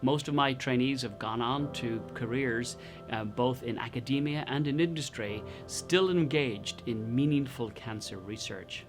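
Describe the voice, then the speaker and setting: A man's voice: medium pitch, unhurried. A man speaking as a research mentor in a promotional interview. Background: guitar music.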